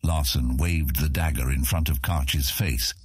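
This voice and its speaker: A man's voice: rumbling low, a little slow, slightly rough, no echo, clean studio sound. A man reading scripted epic fantasy narration for an audiobook.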